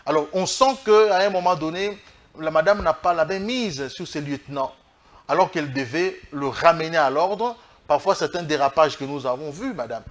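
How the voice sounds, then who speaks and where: Higher-voiced Congolese man arguing emphatically. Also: moderate pace. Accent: Congolese French. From Democratic Republic of the Congo. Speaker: political guest, lawyer. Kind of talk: political interview, spoken argument.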